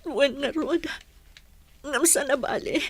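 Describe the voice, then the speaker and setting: Very high-voiced, pleading young Filipina. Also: female voice, slow pace. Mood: sad, resigned. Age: young adult. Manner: pleading, emotional. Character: young female student, hurt, pleading, betrayed. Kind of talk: radio drama acting.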